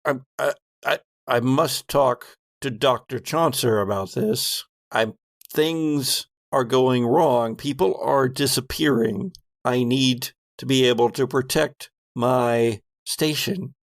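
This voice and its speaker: A man's voice: medium pitch, slow pace, dry recording, studio quality. A man playing an agitated, stern space station commander in a tabletop game, insistent and stammering with frustration. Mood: agitated, demanding.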